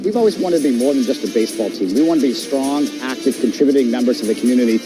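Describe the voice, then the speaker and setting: A man's voice: medium pitch, animated, rapid-fire, poor audio. A man giving a promotional testimonial for a baseball club. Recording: loud music bed behind speech.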